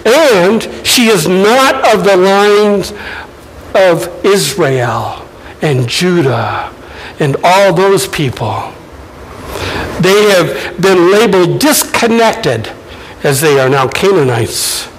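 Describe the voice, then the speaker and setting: Slow-talking high-voiced male pastor. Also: lively intonation, rough recording. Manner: conversational preaching, slow and deliberate. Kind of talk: sermon.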